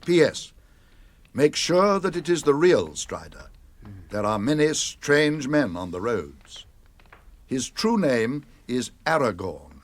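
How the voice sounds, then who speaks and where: Slow, portentous old wizard. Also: male voice, mid-range voice, sing-song. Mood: grave, urgent. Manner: measured, portentous, declamatory. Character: grave, kindly wizard reading out his letter. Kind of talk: classic radio drama, verse recitation.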